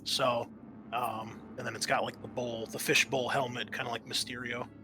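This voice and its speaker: A man narrating as game master in a casual, halting way, thinking aloud as he goes. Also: mid-range voice, flat delivery, music in the background. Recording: faint background noise.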